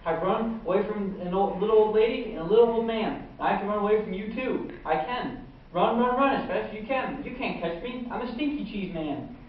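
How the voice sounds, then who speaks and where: A woman's voice: medium pitch, brisk, close to an everyday voice. A woman playing a boastful, taunting runaway man made of stinky cheese in readers' theatre. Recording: echoey hall, distant mic, muffled, noisy.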